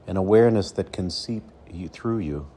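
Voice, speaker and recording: A man leading a guided meditation as a coach, speaking slowly and soothingly with deliberate pauses between phrases. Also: deep voice, mellow.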